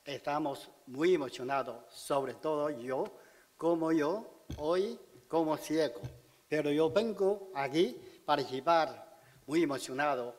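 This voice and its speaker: A man in his sixties from China, speaking halting, simple Spanish with a Chinese accent in a public speech at a podium in a large auditorium. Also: fairly high pitch, a little mellow. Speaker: community speaker at civic event. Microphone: podium microphone. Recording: hall reverb.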